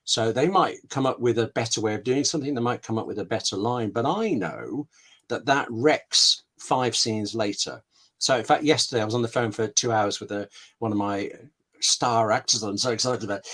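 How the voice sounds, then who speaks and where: A man's voice: lowish voice, fairly bright tone. Emphatic male writer in conversation. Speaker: scriptwriter, webinar guest. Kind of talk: conversational interview talk about craft.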